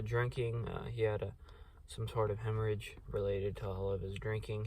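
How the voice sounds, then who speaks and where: A man's voice: on the low side, darkish tone. A young man giving a casual, rambling book review, full of fillers like "um" and "uh" and restarted sentences. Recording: distant mic with faint background noise.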